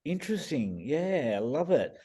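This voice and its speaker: A man on a video call explaining eye readings in a casual, enthusiastic way, his voice a bit croaky and full of restarts. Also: on the high side, big pitch swings, normal speed, mellow. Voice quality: hesitant, many restarts and fillers. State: croaky voice, clearing throat. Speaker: iridologist guest reading eyes.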